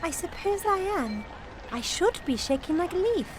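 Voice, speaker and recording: An actress playing a plucky, devoted young Victorian wife and detective's assistant in a radio drama, spirited, earnest and polite. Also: female voice, very high voice, very animated, moderate pace, noisy background. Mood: affectionate.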